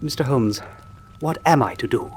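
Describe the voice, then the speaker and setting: A man's voice: medium pitch, normal speed, breathy edge. A male actor playing an earnest, anxious English doctor in a radio drama, speaking formally and a little hesitantly. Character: Doctor Mortimer, earnest, anxious country doctor and man of science.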